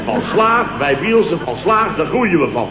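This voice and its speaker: Casting: boastful, exclamatory boss for old radio comedy; echoey, noisy vintage sound. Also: male voice, high voice, sing-song, moderate pace, highs cut off. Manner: rambling, exclamatory, full of stammers and interjections. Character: blustering, boastful, weary male boss and amateur night watchman. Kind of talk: 1970s Dutch radio comedy acting.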